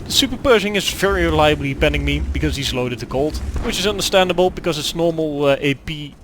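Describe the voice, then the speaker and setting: A young man with a Dutch accent, Dutch his first language, commenting on a gameplay replay in a halting way with many fillers and restarts. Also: a little high, animated, background noise. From Netherlands. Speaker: gaming commentator.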